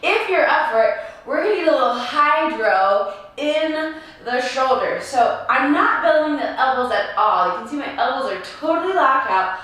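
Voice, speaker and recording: A young woman with a raspy, hoarse voice leads a home workout, bubbly and playful, often giggling between cues. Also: sits high, animated, normal speed, a bit bright. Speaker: fitness coach. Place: apartment room. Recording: echoey room, distant from mic.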